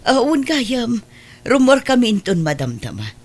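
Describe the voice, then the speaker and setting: A woman's voice: fairly high pitch, big pitch swings, measured pace, a little mellow, husky, full character voice. A woman playing a loving, hopeful, well-off adoptive mother in an Ilocano audio drama, with an Ilocano accent. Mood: practical.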